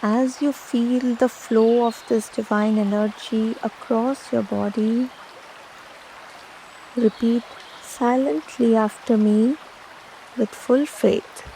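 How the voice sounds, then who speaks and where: A woman leading a guided meditation, giving soothing, measured instructions with long pauses between phrases. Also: on the high side, fairly even intonation, vocal fry, mellow, a little hiss or hum, rough recording. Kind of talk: slow guided meditation narration.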